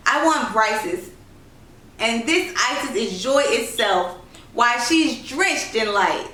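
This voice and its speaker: A woman's voice: a little high, expressive, slow, bright, forceful tone, heavily acted. A woman voicing a kind, genteel lady traveller, gracious and amused, in a storybook reading. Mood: wistful. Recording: roomy, distant, noisy home recording.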